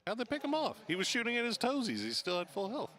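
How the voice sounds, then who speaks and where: A man commentating a competitive shooter match, jokey and animated, laughing often. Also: very high-pitched, quick pace. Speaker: esports caster. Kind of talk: play-by-play commentary.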